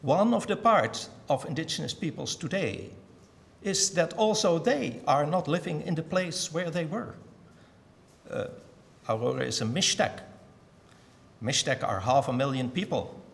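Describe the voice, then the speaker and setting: An older man from the Netherlands giving a measured, thoughtful talk at a symposium, with frequent pauses and ums. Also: a little high, expressive, vocal fry. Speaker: symposium speaker. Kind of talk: academic conference talk. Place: museum lecture hall. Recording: long hall reverb.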